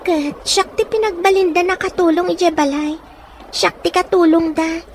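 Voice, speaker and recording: A woman's voice: very high voice, fairly even intonation, normal speed. A woman playing a poor, resilient young orphan in an audio drama, plain-spoken and caring, with a northern Philippine accent. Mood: sorrowful. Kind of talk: acted audio drama dialogue. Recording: faint background noise.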